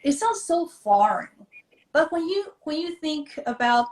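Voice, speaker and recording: A woman's voice: high voice, big pitch swings, measured pace, a little mellow. Taiwanese-born woman, earnest and echoey. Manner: thoughtful, earnest, slightly non-native phrasing with many fillers. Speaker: guest, children's author. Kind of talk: conversational interview on a live video stream. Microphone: video call. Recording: echoey room over a video call.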